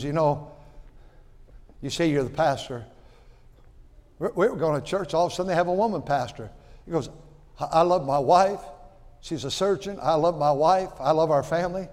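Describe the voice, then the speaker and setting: A man in his sixties preaching a sermon in a folksy way, repeating words and swinging from loud to quiet. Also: on the high side, in a large hall. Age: 60s. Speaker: pastor.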